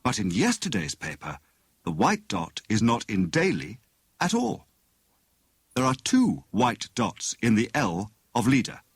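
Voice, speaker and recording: A man's voice: lowish voice, huge pitch swings, measured pace, slightly rough, dry recording, studio quality, full character voice. A man voicing a jovial, pompous husband who plays amateur detective in a teasing, mock-grand manner for an audiobook.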